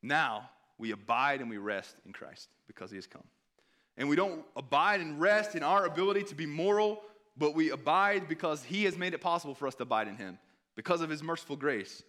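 A hoarse man preaching a sermon in an earnest tone, pausing to read scripture aloud. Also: a little high, animated, sharp tone. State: hoarse, clearing throat.

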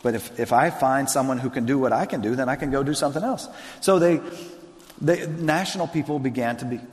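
A man preaching as a pastor, earnest and conversational, often circling back to restart a thought. His pitch sits in a middle range. The sound carries the long reverb of a large hall. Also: brisk. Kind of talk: sermon and onstage interview.

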